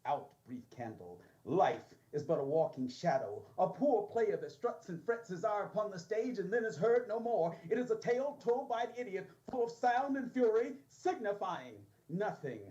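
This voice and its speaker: A man's voice: high voice, big pitch swings, moderate pace, hardly any pauses, warm tone. A man performing spoken word poetry in a declamatory manner, heard as a video played back at a coffee shop. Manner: declamatory, rising dramatically. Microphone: played through a TV monitor. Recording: dull video playback over speakers.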